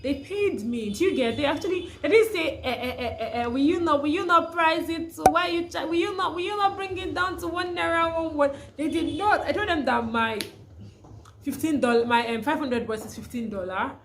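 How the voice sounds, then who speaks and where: A woman's voice: high-pitched, a little fast. A young Nigerian woman with a Nigerian accent, talking casually to camera in a vlog, often restarting her sentences. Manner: chatty, restarts often, laughs through stories. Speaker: vlogger, freelancer.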